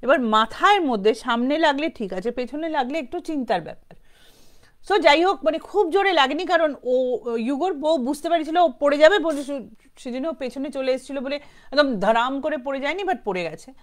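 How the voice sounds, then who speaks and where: Female storyteller, Bengali accent, slightly high voice, off-the-cuff horror narration, conversational, code-switches into English. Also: animated, normal speed. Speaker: storyteller, show host. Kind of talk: informal spoken horror storytelling, unscripted.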